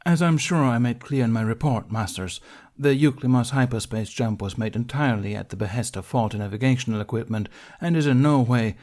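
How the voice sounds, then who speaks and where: A man's voice: medium pitch, brisk, darkish tone, lightly acted. Man voicing an unflappable, politely defiant Jedi knight. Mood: defensive. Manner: formal, composed, protesting politely. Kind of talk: audiobook character dialogue.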